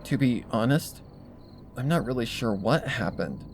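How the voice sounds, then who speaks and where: An anxious female pony hiding something , read by a man. The voice is guarded and curt yet moves freely in pitch, on a noisy storybook-style recording. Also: expressive, unhurried. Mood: evasive. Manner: curt, evasive. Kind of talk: acted fan fiction reading.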